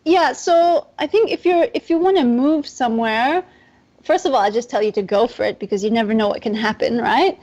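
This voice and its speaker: A woman's voice: fairly high pitch, fairly quick, a little mellow, highs cut off. A young woman from Nepal and Southeast Asia chatting as a podcast guest, explaining things in a rambling, conversational way. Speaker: interview guest, entrepreneur.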